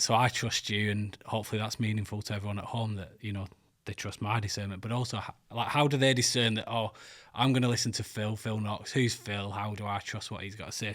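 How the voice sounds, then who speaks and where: A man's voice: lowish voice, fairly bright tone. Chatty male podcast host. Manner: chatty, rambling, many fillers. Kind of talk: casual interview.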